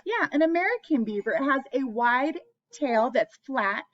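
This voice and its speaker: A woman's voice: high voice, animated, normal speed, dull audio. A woman hosting an interactive picture-book read-aloud for young children, asking them questions and encouraging them as she goes.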